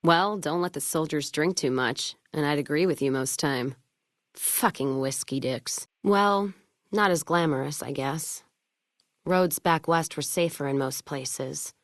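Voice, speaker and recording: Tough wasteland caravan woman: low voice, blunt, wry, hard-drinking game character, close dry recording. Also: unhurried, no room sound. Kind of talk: video game character dialogue.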